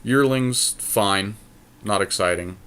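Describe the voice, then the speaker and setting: Man, lowish pitch, casual game commentary, podcast co-host, thinking aloud, deliberating. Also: slow pace, fairly bright tone, clean tone. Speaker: podcast co-host and player making card picks. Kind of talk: casual commentary on a trading card game draft.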